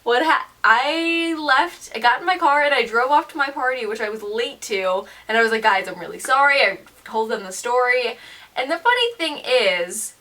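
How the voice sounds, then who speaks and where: A young woman of seventeen telling a story casually in an animated, emphatic, slangy way, as a vlogger. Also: fairly high pitch, normal speed, distant mic. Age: teens, seventeen.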